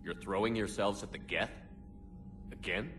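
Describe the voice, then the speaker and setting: An actor playing a male starship commander in a video game, speaking in a clipped, calm, commanding way. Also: lowish voice, moderate pace, music in the background, lightly acted. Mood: steady. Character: male military commander, steady and decisive. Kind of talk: video game cutscene acting.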